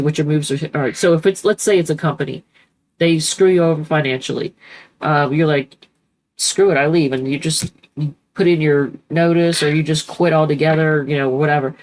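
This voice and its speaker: A woman's voice: low voice, a little mellow, poor audio. Chatty congested woman reading tarot. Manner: rambling, chatty, self-correcting with many ums. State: congested from a cold. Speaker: tarot reader.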